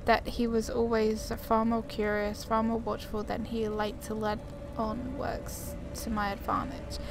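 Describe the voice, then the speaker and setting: A woman's voice: fairly high pitch, level tone, a little slow, over music. A woman gamer chatting casually as she plays and reads game text aloud. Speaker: gamer playing and commenting.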